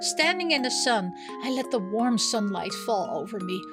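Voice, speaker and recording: A woman with a fairly high voice plays through a visual novel. She chats casually, reacts aloud and reads the narration, with game music playing clearly underneath. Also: animated, normal speed. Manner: reacts aloud with small interjections. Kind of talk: casual let's-play chat and reading game text aloud.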